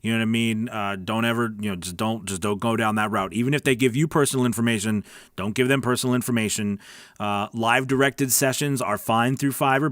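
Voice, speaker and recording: A man's voice: medium pitch, fairly bright tone, dry recording, clean studio sound. A man hosting a live coaching stream, answering viewer questions in a chatty, informal way and often checking that listeners follow. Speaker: host of a live weekly voice-over coaching stream. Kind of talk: casual livestream Q&A, reading and answering viewer comments.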